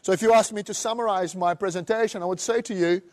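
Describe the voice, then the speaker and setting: A middle-aged man from Brazil with a Brazilian Portuguese accent, giving an animated seminar talk and acting out other people's voices. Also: high voice, quick pace. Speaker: motivational speaker. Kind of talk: live seminar presentation.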